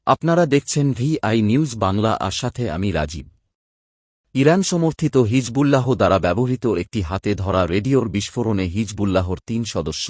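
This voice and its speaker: A man's voice: fairly low pitch, a little mellow, no echo, studio quality. A man with an Indian Bengali accent reading scripted news narration as a presenter.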